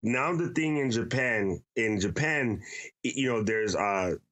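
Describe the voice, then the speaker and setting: A man's voice: mid-range voice, expressive, unhurried, a bit bright. A man chatting on a podcast who explains things with enthusiasm, often checking in with "right?". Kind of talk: casual podcast chat.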